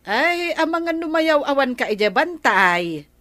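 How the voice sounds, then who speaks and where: Grandmother for radio comedy drama: elderly, Ilocano accent, highish pitch, questions and admonishes. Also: very animated, measured pace, a bit bright, full character voice. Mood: concerned.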